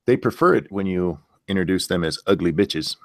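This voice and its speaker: A man's voice: low voice, expressive, fairly quick, dry recording. A man hosting a live stream, chatting casually with dry, joking banter. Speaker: stream host.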